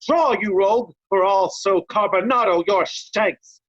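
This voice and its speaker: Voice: mid-range voice, a little slow, lo-fi sound, close to an everyday voice. An actor playing a blunt, hot-tempered old loyalist in Shakespearean reader's theatre, scornful and emphatic, piling up insults. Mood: furious shouting.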